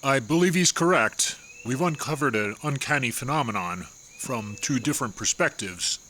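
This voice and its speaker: An actor playing a formal, measured doctor meeting a colleague. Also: male voice, medium pitch, lively intonation, sharp tone, a little hiss or hum. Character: measured scientist doctor, discreet.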